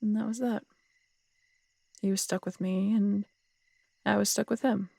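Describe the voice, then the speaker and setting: A woman playing a tough, strong-headed city detective in an intimate audio roleplay, husky and gravelly, telling things in a wry, unhurried, conversational way. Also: medium pitch, fairly even intonation, dark tone, dry recording. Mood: reflective. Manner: wry, unhurried storytelling with casual swearing.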